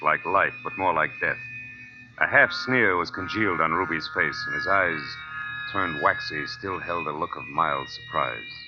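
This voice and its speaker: An actor playing a hard-boiled, sardonic private detective in a clipped 1940s radio drama style. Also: male voice, low voice, lightly acted. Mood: grim narration. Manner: clipped, sardonic tough-guy delivery. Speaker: lead actor and narrator. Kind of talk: 1940s radio detective acting, first-person narration. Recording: dull old radio recording.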